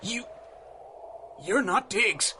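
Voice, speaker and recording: An actor playing a jittery, defiant bootlegger in a 1930s-style radio drama, stammering and excitable. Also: male voice, high-pitched, drawn-out words, noisy background, heavily acted. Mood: shocked. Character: jittery, defiant bootlegger gangster, adult man.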